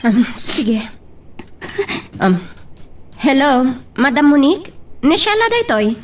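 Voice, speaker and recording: Loyal, worried young cousin, played by a woman, Ilocano Filipino accent, high lively voice, faint noise behind, audio drama. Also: takes it slowly, full character voice. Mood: polite.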